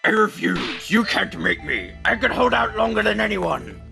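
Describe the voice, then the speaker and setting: A pompous old man, with a very creaky, sharply forceful voice. He blusters theatrically like a grand old commander and trips over his words, over faint game music in a home recording. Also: sits high, very animated, normal speed, full character voice. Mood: defiant. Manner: theatrical, stammering, military bluster. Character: pompous, dramatic old male space-center director. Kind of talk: video game fandub acting.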